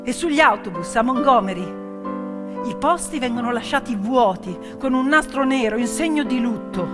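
A woman performing dramatic theatrical storytelling in an emphatic, declamatory manner, with deliberate pauses and repetitions. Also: on the high side. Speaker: stage storyteller. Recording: piano music behind the voice. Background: piano accompaniment.

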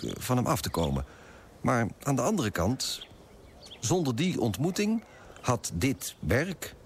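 A man's voice: lowish voice, slight background noise. An actor playing a smug, moralizing male broker who narrates in a pompous, disapproving way in a radio play.

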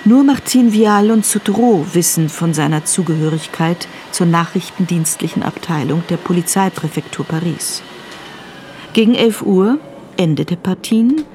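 An actress playing the matter-of-fact narrator of a crime radio drama, reading scripted prose. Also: female voice, on the low side, a little mellow, natural voice. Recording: clear ambient background noise.

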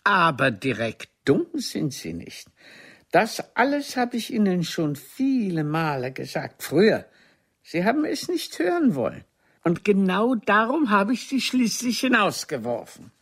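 A woman's voice: mid-range voice, very animated, unhurried, husky, exaggerated voice. Haughty, theatrical scornful woman, swooping melody. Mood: scornful, cutting. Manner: theatrical, cutting, formal address. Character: haughty, mocking actress, a man's scornful former lover. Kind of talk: radio drama dialogue.

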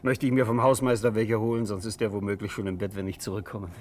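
Low-voiced, affable captain played by a man. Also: flat delivery, quick pace, lightly acted. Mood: breezy. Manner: chatty, affable. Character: affable, casual, harmless-seeming male captain. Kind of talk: 1950s German radio play acting. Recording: faintly noisy old radio recording.

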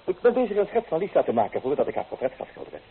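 An actor playing a young, amorous painter, coaxing and theatrical, in an old radio drama. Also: male voice, on the high side, very animated, racing, husky, heavily acted. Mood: breezy, over-eager. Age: young adult. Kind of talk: old radio play acting. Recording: tinny old radio recording, hissy.

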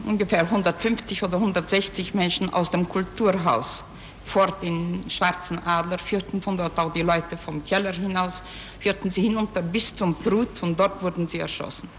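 A woman's voice: on the low side, steady tone, normal speed. A woman recounting events as courtroom testimony in an archival recording. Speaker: trial witness. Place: large courtroom. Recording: reverberant archival courtroom, faint noise.

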